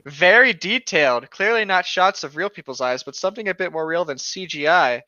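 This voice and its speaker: Bright-voiced playful man, dull lo-fi mic. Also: fairly high pitch, animated, brisk, no room sound. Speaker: co-host. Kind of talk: reading a story aloud with joking asides.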